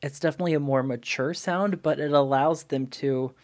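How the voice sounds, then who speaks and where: A man's voice: fairly high pitch, fairly quick. Stuffy-nosed man reacting with enthusiasm. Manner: enthusiastic, chatty, frequent "wow, okay". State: stuffy nose. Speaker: music video reaction host. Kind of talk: casual reaction commentary. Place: home studio room with brick-pattern backdrop. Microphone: studio mic on stand with headphones.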